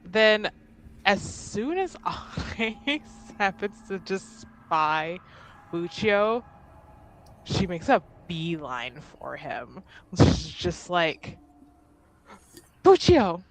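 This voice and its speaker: Unhurried, exclamatory woman gamer. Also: lowish voice, lively intonation, a little hiss or hum. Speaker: tabletop roleplaying game player. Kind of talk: casual table talk, improvising in character.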